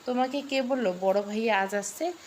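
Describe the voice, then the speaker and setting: A woman's voice: on the high side, moderate pace, a little mellow, slight background noise, close to an everyday voice. A woman voicing an easily startled younger sister in a storybook, questioning and alarmed. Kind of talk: storybook dialogue.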